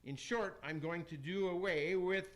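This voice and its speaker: A man's voice: high voice, measured pace, fairly bright tone. A man acting a pompous, scheming Roman emperor from hell in a rhymed radio play, declaiming theatrically. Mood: menacing, boastful. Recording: faint noise, amateur radio broadcast.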